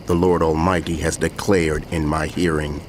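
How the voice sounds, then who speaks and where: A man's voice: extremely low pitch, flat delivery, normal speed, a little hiss or hum. A man playing a solemn prophet who proclaims woe in an emphatic, declamatory way in dramatized scripture narration. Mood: grave warning.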